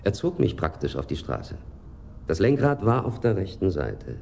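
An actor playing a polite, precise and exasperated hotel manager in a German radio crime drama, courteous yet disdainful. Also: male voice, low-pitched, very animated, normal speed, gravelly, darkish tone, a little hiss or hum, exaggerated voice. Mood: indignant, dismissive.

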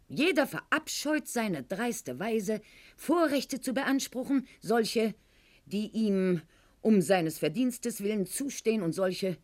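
An actress playing a proud, aging aristocratic wife at court in a radio play, speaking formally and precisely, with haughty theatrical declamation. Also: female voice, medium pitch. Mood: indignant, bitter.

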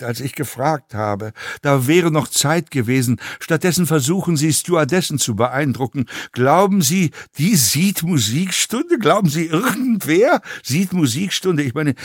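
A man's voice: fairly high pitch, animated, measured pace, few pauses, no echo, studio quality, pitched above the performer's own voice. A man voicing an intrusive, chatty male passenger in a story, rambling with hesitations and needling insults. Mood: scornful.

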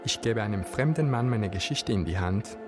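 Solemn male author figure played by a man, radio drama recitation, slightly deep, set over music. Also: expressive, moderate pace, natural voice. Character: male author reciting his manifesto and verse. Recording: music under the voice.

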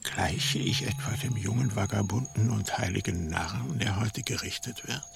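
An actor playing Pontius Pilatus, a wrathful Roman procurator, who talks in a low, threatening half-whisper in a radio drama. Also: male voice, normal speed, creaky. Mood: menacing. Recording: radio play with music underneath.

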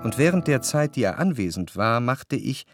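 A man narrating a literary radio drama as a reflective gentleman recalling his past, in a measured, articulate way. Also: medium pitch, very animated, faint music behind. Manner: measured, articulate reading of long sentences.

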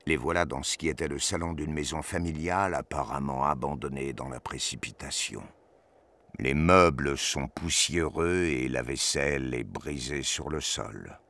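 A man's voice: very deep voice, normal speed, a bit bright. A man narrating an audio drama as a scripted storyteller. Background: music.

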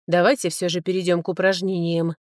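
A woman reading the lines of a calm, polite male caregiver in an audiobook novel, soft-spoken and reassuring. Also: on the low side, brisk, no echo, studio quality, close to an everyday voice. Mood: calm, helpful.

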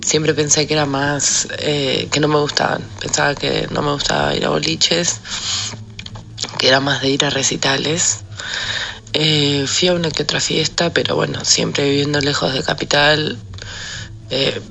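A woman with an Argentine accent telling a casual anecdote haltingly in a voice message with faint noise behind. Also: low voice, flat delivery, creaky, sharp tone. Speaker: listener sending an audio message.

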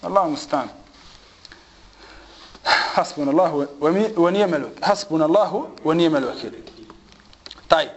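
Male preacher, high voice, anecdotal teaching style, muffled lo-fi recording. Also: normal speed, long pauses, a little hiss or hum. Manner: storytelling, lively, mixes in Arabic phrases. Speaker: preacher giving a religious lesson. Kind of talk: informal sermon with anecdotes. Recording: dull lo-fi recording.

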